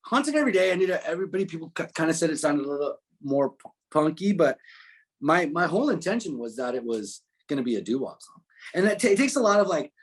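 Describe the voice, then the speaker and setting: A man with a slightly high, husky voice talks casually as a musician being interviewed. His delivery is animated and conversational, loose and unpolished. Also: quick pace. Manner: animated storytelling, frequent profanity and filler phrases. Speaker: musician guest.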